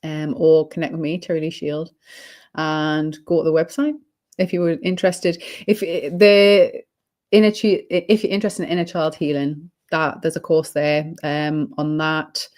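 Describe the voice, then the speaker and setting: Slightly hoarse British woman, reflective. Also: low-pitched, little pitch movement, moderate pace, darkish tone. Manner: reflective and rambling, many ums and restarts. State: slightly hoarse, losing her voice. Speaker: guest, holistic healing coach. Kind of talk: casual interview chat.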